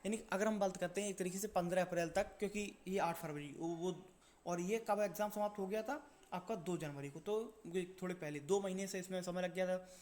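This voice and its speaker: A man's voice: high voice, brisk, darkish tone. A man presenting an exam-update video in an informal explainer style, repeating his points and answering his own questions.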